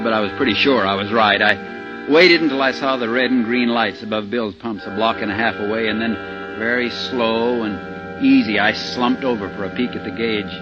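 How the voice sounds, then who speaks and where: A man's voice: mid-range voice, close to an everyday voice. A man playing an anxious middle-aged husband driving at gunpoint, in folksy, tense 1950s radio suspense style. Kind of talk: 1950s radio suspense acting with first-person narration. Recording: muffled old radio recording with music behind.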